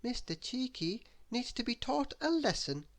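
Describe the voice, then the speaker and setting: A woman's voice: on the high side, sing-song, unhurried, pitched above the performer's own voice. A woman voicing a prim, tidy little female storybook character who speaks in a decided way.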